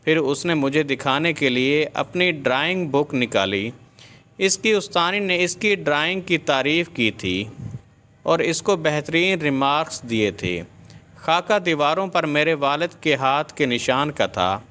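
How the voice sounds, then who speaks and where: A man's voice: a little high, expressive, fairly bright tone. A man reading a scripted moral story as a storyteller.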